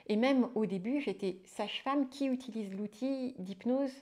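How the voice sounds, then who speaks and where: A woman's voice: fairly high pitch, moderate pace, darkish tone. A woman, a midwife turned hypnotherapist, talking in a casual interview with many fillers and restarts.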